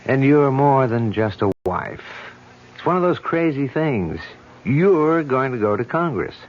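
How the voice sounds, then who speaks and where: An actor playing a self-assured, joking police detective, in a radio drama. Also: male voice, mid-range voice, big pitch swings, unhurried. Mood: fond. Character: cocky male police detective. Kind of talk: 1970s radio drama acting. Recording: dull old radio recording.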